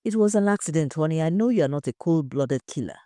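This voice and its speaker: A woman's voice: deep voice, lively intonation, fast talker, mellow, no room sound, studio quality. A woman voicing a shocked, heartbroken but tender husband in an audio story, weeping and pleading. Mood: grieving, tender. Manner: groaning, weeping, pleading questions. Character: horrified, grieving, tender husband of a confessed killer.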